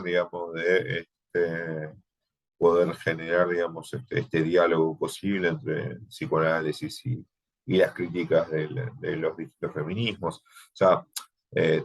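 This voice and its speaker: A man with an Argentine accent leads a thesis class over a video call. His voice sits very low, and he hesitates often, stacking fillers as he gives feedback to students. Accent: Argentine Spanish. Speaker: thesis workshop teacher. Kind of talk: online class, thesis advising.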